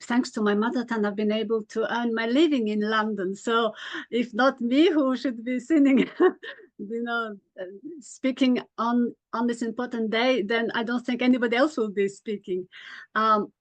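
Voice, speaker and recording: A middle-aged woman from Mongolia, giving a hesitant conference talk over a video call, with many pauses and fillers. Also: on the high side, fairly even intonation. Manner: hesitant, many ums and uhs, self-correcting. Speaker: conference speaker. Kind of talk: online conference talk with slides.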